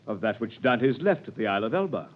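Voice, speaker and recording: An actor playing a scheming, sly ship's clerk in a 1930s radio drama, probing in manner, on a hissy old recording. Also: male voice, on the low side, very animated, fast, phone-quality sound.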